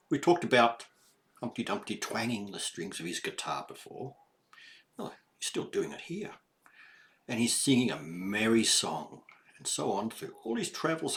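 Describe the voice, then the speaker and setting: An older man reads a children's story aloud in an animated way, pausing to explain and to ask the young listener questions. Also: on the low side, a little hiss or hum.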